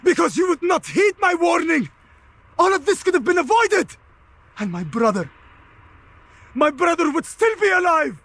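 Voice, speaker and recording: An actor playing a bitter, accusing assassin in a video game, with a husky voice and intense delivery. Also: male voice, extremely high pitch, very animated, normal speed, long pauses, fairly bright tone, heavily acted. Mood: furious. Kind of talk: dramatic video game acting.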